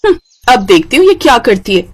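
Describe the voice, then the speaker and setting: A woman's voice: a little high, big pitch swings, fairly quick, slightly rough. A woman voicing a jealous, scheming young woman who runs a street juice cart in a children's cartoon story, boastful and grumbling by turns. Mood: gloating, spiteful. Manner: loud, boastful vendor calls and sulky grumbling.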